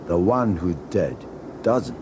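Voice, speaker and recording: A man's voice: deep voice, lively intonation, slow, background noise. A man playing an older, stoic Okinawan karate master in a read-along story, speaking terse broken English with a Japanese accent. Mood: solemn, wise.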